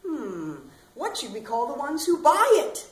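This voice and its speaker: A woman acting as an eccentric, loud-voiced bag lady on a small theater stage, talking brashly and rambling straight at her listeners. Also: high-pitched, sing-song, a little slow. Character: eccentric loud middle-aged bag lady waiting for aliens. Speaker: stage actor. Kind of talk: theatrical comic monologue. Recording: echoey hall, faint ambient noise.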